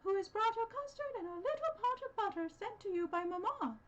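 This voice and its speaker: A woman's voice: extremely high pitch, expressive, a little fast, fairly bright tone, muffled recording, pitched above the performer's own voice. A woman voicing a sly, hungry male wolf in a fairy tale, coaxing and disguising his voice. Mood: falsely sweet.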